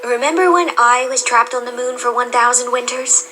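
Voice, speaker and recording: A solemn pony princess acted by a woman, high-voiced and measured. She speaks formally and calmly in an audio drama with music behind. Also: close to an everyday voice. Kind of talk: fan audio drama acting.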